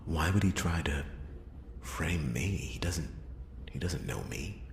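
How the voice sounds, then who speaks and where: A man voicing a brooding noir detective in a hushed ASMR roleplay, narrating a murder mystery in a breathy near-whisper. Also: rumbling low, lively intonation, heavily acted. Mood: suspicious, ominous. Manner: slow, deliberate, hushed storytelling. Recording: roomy echo, clear background noise.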